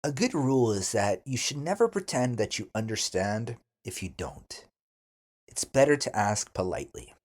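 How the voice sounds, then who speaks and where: A man teaching an English lesson, speaking deliberately and enunciating carefully as he gives model phrases for learners to repeat. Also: mid-range voice, sing-song, darkish tone.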